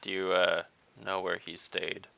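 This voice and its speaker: A man's voice: deep voice, monotone, measured pace, vocal fry, pushed and bright, no echo. A man playing a tabletop game, direct and joking with a crude sense of humor. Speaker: player. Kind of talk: casual tabletop play. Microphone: home mic on video call. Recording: dull lo-fi audio.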